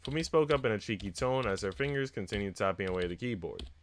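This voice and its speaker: A man's voice: lowish voice, expressive, fast talker. A man on a live stream, reading visual novel text aloud and chatting casually with viewers. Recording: noisy mic with typing sounds.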